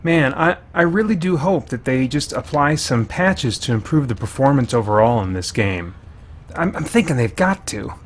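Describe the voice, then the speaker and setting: A man's voice: mid-range voice, animated, moderate pace, slight background noise. A man giving casual video game commentary as a reviewer, thinking aloud in informal language with mild exclamations.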